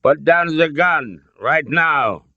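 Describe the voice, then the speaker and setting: A man's voice: on the high side, animated, slow, no echo, muffled recording, pitched above the performer's own voice, full character voice. A man voicing a big, brutish Nazi colonel, barking menacing orders in a storybook.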